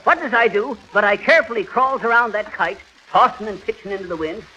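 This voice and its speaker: Bright, high-voiced folksy 1930s tall-tale teller. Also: male voice, breathy edge, highs cut off, heavily acted. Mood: boastful. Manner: folksy, ungrammatical, drawn-out storytelling. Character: boastful middle-aged folksy male tall-tale teller. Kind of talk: 1930s radio comedy acting. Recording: noisy, crackly 1930s radio recording.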